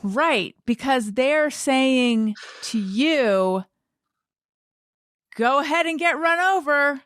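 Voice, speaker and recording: Woman podcast host, lively swooping pitch. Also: extremely slow, no echo, studio quality. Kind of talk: casual podcast chat.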